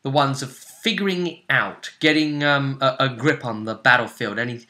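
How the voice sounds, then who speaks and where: A man recapping a tabletop role-playing session as game master, in a casual, animated storytelling manner. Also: medium pitch, normal speed.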